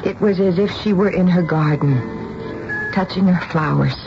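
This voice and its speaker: An actress playing a kindly but firm middle-aged mother in a radio drama, speaking in an earnest, soothing, measured way. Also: fairly low pitch, gravelly, over music. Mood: wistful narration. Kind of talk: radio drama acting and first-person narration. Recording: dull, noisy old radio recording.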